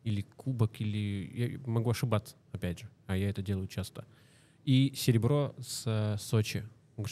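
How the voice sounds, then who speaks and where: A man's voice: on the low side, dark tone. A man co-hosting a casual podcast interview, telling stories with joking asides.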